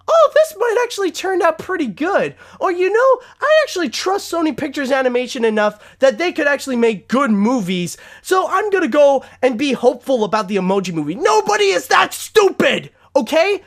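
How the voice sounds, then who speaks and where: A man giving an opinionated rant about entertainment in an emphatic, exasperated manner. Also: very high-pitched. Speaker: animation commentator.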